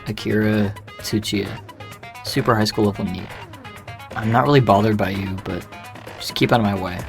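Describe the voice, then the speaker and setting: An actor playing a surly, apathetic teenage shut-in in an audio drama, sullen and drawling. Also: male voice, fairly low pitch, fairly even intonation, moderate pace, gravelly, over music. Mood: indifferent.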